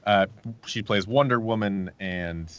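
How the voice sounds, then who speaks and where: A man's voice: on the low side, a little slow, a bit bright, clean tone, highs cut off. A man speaking casually as a producer being interviewed on a podcast. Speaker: interview guest, audio drama producer.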